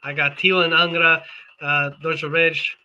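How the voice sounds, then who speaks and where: Higher-pitched, very bright-voiced man chatting casually. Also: level tone, moderate pace, highs cut off. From born in Portugal, moved to Canada. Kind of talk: casual chat.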